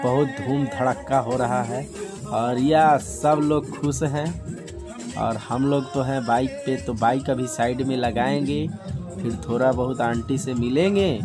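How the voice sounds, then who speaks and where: A man narrates his vlog live from a packed outdoor street rally, cheering on the crowd for his viewers. He speaks slowly and stretches his words. Loud DJ music plays clearly behind him. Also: medium pitch. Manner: excited, hyping the crowd to viewers. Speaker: vlogger.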